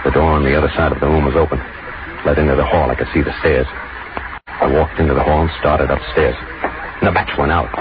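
A man's voice: extremely low pitch, steady tone, music in the background. An actor playing a grim, furtive man narrating in terse sentences in a 1940s radio thriller. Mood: tense, deliberate. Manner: terse, deliberate short sentences. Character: Ernie, grim furtive man narrating his stealthy night intrusion. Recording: noisy muffled 1940s radio recording.

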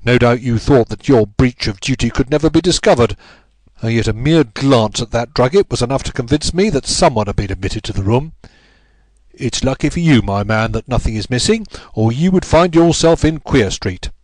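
A man's voice: medium pitch, lively intonation, normal speed, darkish tone, no echo, lightly acted, low-quality recording. A man voicing a self-important, bullying police inspector in an audiobook, taunting and pleased with himself. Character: smug, bullying male police inspector, gloating. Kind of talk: audiobook character voice.

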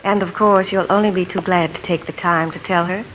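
An actress playing a greedy, sharp-tongued woman in a cult, spiteful and demanding, in a 1950s radio drama. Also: fairly low pitch, fairly even intonation, a little fast, slight background noise, lightly acted. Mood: sly. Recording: dull lo-fi 1950s radio broadcast.

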